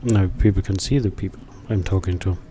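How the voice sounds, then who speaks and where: A man's voice: deep voice, a little fast, mellow, slight background noise. A man live-streaming a story game, chatting with viewers as he plays.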